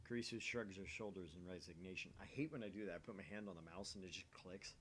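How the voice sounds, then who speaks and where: A man's voice: sits low, quick pace, a bit bright, a little hiss or hum. A man hosting a let's play, reading visual novel narration aloud and chatting casually in a self-deprecating way.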